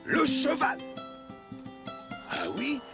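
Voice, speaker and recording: Slow, high-voiced animated storyteller. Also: male voice, full character voice. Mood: storytelling. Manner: expressive, measured storytelling delivery. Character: kindly village projectionist and patient storyteller, adult man. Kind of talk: French children's cartoon dubbing, storytelling. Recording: music behind the voice.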